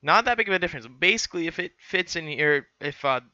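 A man's voice: fairly high pitch, lively intonation, fast, pushed and bright, dry recording, lo-fi sound. A young man explaining a how-to in a casual, rambling way, full of fillers and restarts, on a headset mic in a small room. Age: young adult. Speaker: student pilot vlogger. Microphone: headset boom mic.

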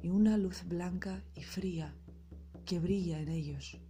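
A woman narrating a scripted guided meditation in a soothing, soft, breathy and unhurried manner. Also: lowish voice. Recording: loud percussive meditation music behind voice.

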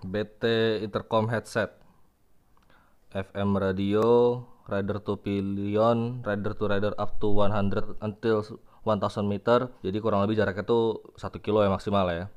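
A man's voice: on the low side, level tone, a little slow, clean tone, dull audio. A young man, chatting informally to viewers as he demonstrates gear in a casual unboxing style. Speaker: vlogger reviewing gear. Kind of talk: casual unboxing and product demo. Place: room at home with tiled floor.

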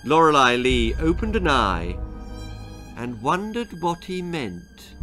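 An older man with an English accent reads a storybook aloud. His delivery is expressive and dramatic, savouring each phrase. His pitch sits in the middle range. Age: 60s or older. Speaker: author reading his story. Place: small book-lined room indoors.

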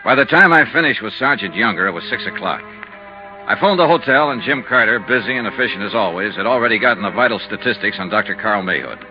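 A man's voice: fairly low pitch, lively intonation, quick pace, natural voice. An American actor playing a cool, businesslike insurance investigator who narrates his case in clipped 1950s radio style. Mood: brisk, businesslike. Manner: clipped, matter-of-fact delivery. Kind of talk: 1950s radio detective acting. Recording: muffled 1950s radio recording with music.

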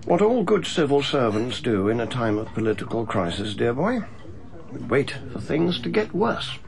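An actor playing a world-weary, haughty senior civil servant in a radio drama, with an urbane, sardonic English accent. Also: male voice, medium pitch, very animated, moderate pace, creaky, crowd noise. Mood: weary, grave.

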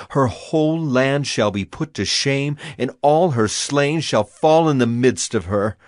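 A man's voice: medium pitch, no room sound, studio quality, natural voice. A man playing a solemn prophet proclaiming divine wrath in a declamatory, dramatized scripture reading.

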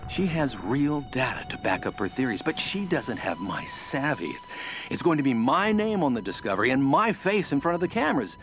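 Man acting a vain, animated celebrity explorer. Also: medium pitch, close to an everyday voice. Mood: pleading, desperate. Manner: halting, self-important, theatrical. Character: vain, scheming, desperate male celebrity explorer. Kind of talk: dramatic game monologue. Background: music.

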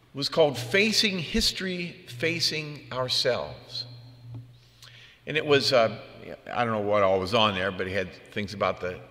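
A man's voice: medium pitch, pushed and bright. A man preaching a homily as a priest, in a conversational, plain-spoken manner. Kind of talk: homily with personal anecdotes. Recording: long reverb of a large room.

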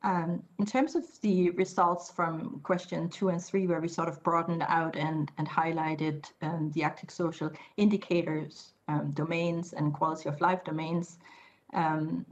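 A middle-aged woman giving a keynote over a video call, speaking in a measured way with frequent fillers. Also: sits low, level tone, highs cut off. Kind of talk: conference presentation. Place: indoor room, video call.

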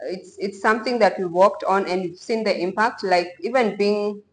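A young Kenyan woman speaks at a middle pitch, earnest and reassuring about her gym. She hesitates with "um" now and then. She wears a lapel mic in an indoor gym. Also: normal speed. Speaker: gym owner. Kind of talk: interview answers.

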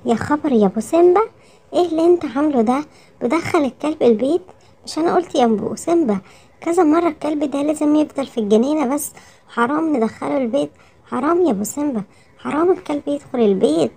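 Woman as a fretful Egyptian mother lioness, high-voiced. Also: vocal fry, warm tone. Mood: exasperated. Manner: admonishing, repeats words for emphasis. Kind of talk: children's cartoon storytelling.

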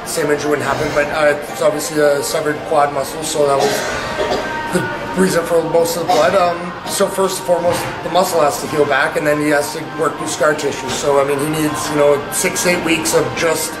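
A man in his thirties, a hockey head coach, answering post-game media questions in halting, repetitive phrasing. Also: a little high, little pitch movement, reverberant, over loud music, low-quality recording. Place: indoors in a small media room before a sponsor backdrop.

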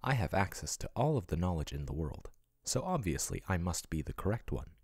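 A soft-spoken male AI chatbot, played by a man murmurs with intimate, doting care that turns possessive. His voice is very low and breathy, with a lively, swooping melody. The sound is dry and close, like ASMR roleplay. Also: a little fast, no room sound, full character voice. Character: male AI chatbot, soothing then possessive yandere.

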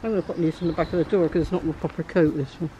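A woman's voice: deep voice, steady tone, rapid-fire, mellow, highs cut off. An older woman making casual remarks on her surroundings during a walk outdoors. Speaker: walking companion. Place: outdoors in seaside town streets. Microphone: camera microphone held by companion. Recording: noisy outdoor camera audio, street ambience.

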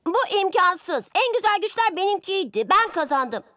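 A woman's voice: very high-pitched, sing-song, moderate pace, a bit bright, no echo, exaggerated voice. A woman voicing a good-natured, playful six-year-old boy superhero, eager and earnest, in a children's cartoon. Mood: competitive. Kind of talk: animated children's cartoon dub. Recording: music behind the voice.

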